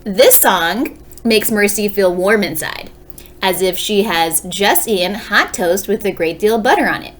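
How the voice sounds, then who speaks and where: A woman teacher reading a children's story aloud with dramatic pauses, speaking directly to young listeners. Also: mid-range voice, a little hiss or hum.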